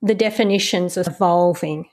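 A woman interviewer who asks long, measured questions and encourages her guest to share her story. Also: lowish voice, a little mellow.

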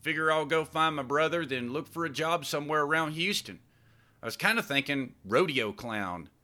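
A laid-back young Texan spaceman as a male narrator plays him, high-pitched with a very bright, sharp edge. His drawl is faded, his manner folksy and joking, made for a sci-fi audiobook. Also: animated, normal speed. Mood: relaxed, joking. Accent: faded Texas. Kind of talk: audiobook character dialogue.

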